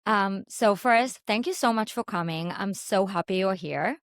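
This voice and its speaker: A woman whose first language is Hebrew hosts a podcast interview over a video call. She speaks eagerly and warmly, leaning on "you know" and "like" as fillers, and her voice sits at an ordinary pitch in a dry, close recording. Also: moderate pace, no echo. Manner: enthusiastic, filler-heavy. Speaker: podcast host. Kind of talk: interview hosting. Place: home in Dallas.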